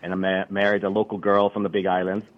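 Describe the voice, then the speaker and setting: A middle-aged man from Austria, a country club manager, chatting casually as a radio guest with a relaxed, rambling manner. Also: low-pitched, quick pace. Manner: relaxed, rambling, many fillers. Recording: dull lo-fi radio broadcast.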